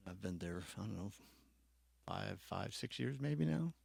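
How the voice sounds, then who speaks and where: A man from Hawaii with a lowish, gravelly voice, sharing personal stories as a guest. He talks loosely and informally, circling back to fix his phrasing, in a dry, close recording. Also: animated, measured pace, darkish tone, no echo. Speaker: podcast guest, church worship director. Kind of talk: casual personal storytelling, chat.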